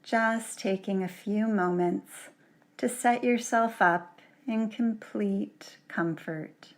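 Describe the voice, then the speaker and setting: Woman, slow pace, gentle and soothing, long pauses, guided relaxation meditation. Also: medium pitch. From Canada. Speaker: yoga nidra teacher.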